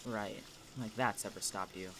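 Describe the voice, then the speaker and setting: A woman's voice: a bass voice, steady tone, normal speed, fairly bright tone, slight background noise. A woman playing a hot-tempered, exasperated young female student in an audio drama, curt and sarcastic. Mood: irritated.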